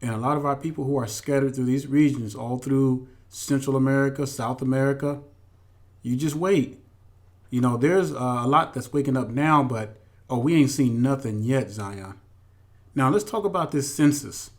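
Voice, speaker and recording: A man's voice: medium pitch, normal speed. A man hosting a religious teaching commentary, speaking emphatically and directly to his followers with repeated phrases. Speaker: host.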